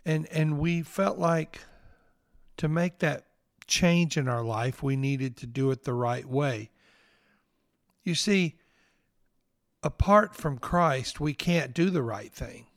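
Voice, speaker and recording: A man in his fifties with a Southern accent, hosting a devotional podcast and speaking in an earnest, gently preaching way. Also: fairly high pitch, slow, pauses often, no room sound, studio quality. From Northeast Mississippi, US.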